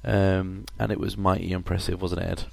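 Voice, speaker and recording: A man with a cold and a husky voice, hosting a casual podcast chat with many hesitant fillers. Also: low-pitched, level tone, a little fast, a crowd in the background. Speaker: podcast host.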